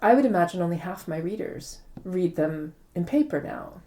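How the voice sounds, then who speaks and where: A woman's voice: on the low side, lively intonation, moderate pace, mellow. A woman author being interviewed, explaining things articulately, step by step.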